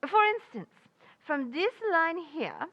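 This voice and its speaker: A high, swooping voice for a lady music teacher in a radio comedy. She is polite and crafty, mangles her grammar, and takes her time. The sound is slightly dull. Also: huge pitch swings, exaggerated voice. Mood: mysterious, coy. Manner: mangled grammar, progressive verbs, deliberate and polite. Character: foreign woman piano teacher and fortune teller, polite, crafty.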